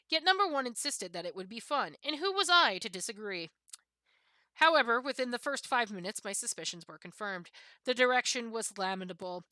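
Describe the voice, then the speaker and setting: Bright-voiced woman reading aloud. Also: mid-range voice, no echo. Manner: expressive reading with occasional stumbles and self-corrections. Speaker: streamer reading a book aloud. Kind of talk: reading aloud from a comic book of essays.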